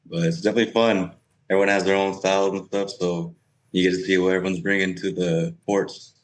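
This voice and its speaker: A man's voice: sits low, flat delivery, a little fast, rough recording. A young man speaking casually as an interview guest and pro player. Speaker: guest, professional cornhole player.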